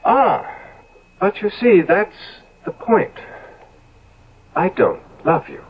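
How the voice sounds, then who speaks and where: An actor playing a vain, callous young English gentleman in 1970s radio drama, with a disdainful, theatrical manner. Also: high voice, animated, slow, breathy edge, heavily acted. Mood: cold, cruel. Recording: muffled, noisy old radio broadcast recording.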